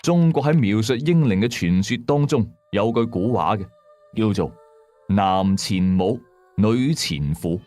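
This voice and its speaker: A male storyteller with a Hong Kong accent and a low voice. His delivery is dramatic and very animated, building suspense in everyday colloquial wording. Music plays under the narration. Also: unhurried, no room sound.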